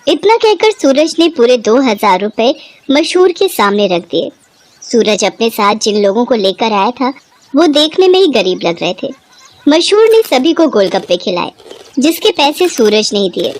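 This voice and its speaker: High, lively female storyteller. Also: moderate pace. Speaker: narrator. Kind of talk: scripted moral-story narration.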